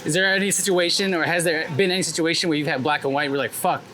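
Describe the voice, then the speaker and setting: A man's voice: fairly high pitch, fairly even intonation, very fast, sharp tone, a little hiss or hum. A man interviewing informally on the move, with casual, slangy phrasing. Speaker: interviewer, host. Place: outdoors on a city street.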